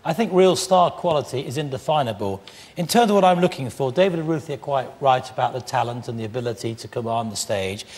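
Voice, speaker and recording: A man serving as a competition judge, explaining briskly what he is looking for. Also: mid-range voice, expressive, a little mellow. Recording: reverberant TV studio.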